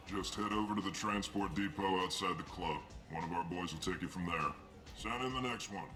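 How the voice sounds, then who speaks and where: Man acting a deep-voiced gruff mercenary recruiter. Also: fairly quick, close to an everyday voice. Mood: blunt, dismissive. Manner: curt, businesslike, blunt. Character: gruff male mercenary recruiter, hardened and blunt. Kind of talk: video game cutscene acting. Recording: roomy echo, game music underneath.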